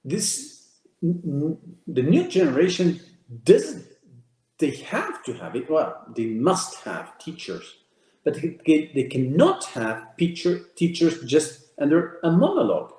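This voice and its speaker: A man's voice: on the high side, huge pitch swings, slow, dark tone, reverberant. A man whose first language is Portuguese, giving an emphatic presentation to an audience, counting off key ideas and repeating words as he goes. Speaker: speaker giving a talk.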